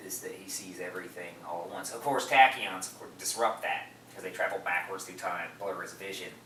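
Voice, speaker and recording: A man's voice: low voice, steady tone, a little fast, pushed and bright. A man co-hosting a movie podcast, explaining things in a rambling, enthusiastic way during a casual film discussion. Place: echoey room, away from the mic. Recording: roomy, distant mic, faint noise.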